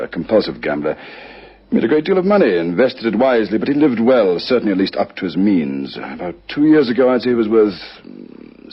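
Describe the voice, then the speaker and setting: Radio drama lawyer: husky, expressive, eloquent and cagey, on a muffled old recording. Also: male voice, on the low side, heavily acted. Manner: deliberate, formal, hedging. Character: large, eloquent male attorney, guarded and worldly. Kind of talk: radio crime drama acting. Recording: dull lo-fi vintage radio recording.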